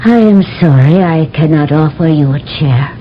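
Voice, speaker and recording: An actress playing a regal alien queen who speaks in a slow, measured, commanding way, in a 1970s radio drama. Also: lively intonation. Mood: imperious. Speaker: queen Lura. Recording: dull old radio broadcast with faint rumble.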